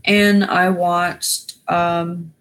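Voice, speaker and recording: Raspy-voiced woman chatting on a livestream. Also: fairly low pitch, flat delivery, extremely slow, fairly bright tone. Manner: rambling, loose, lots of fillers. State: winded after exercising. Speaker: YouTuber going live. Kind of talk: casual livestream chat.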